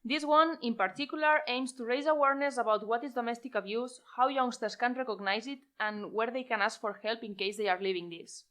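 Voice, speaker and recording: A woman hosts a podcast and leads the talk by asking her guests questions. Her voice is a little high. She sometimes pauses briefly as she goes. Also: a bit bright. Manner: leads questions, light hesitations. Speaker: podcast host. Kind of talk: podcast hosting.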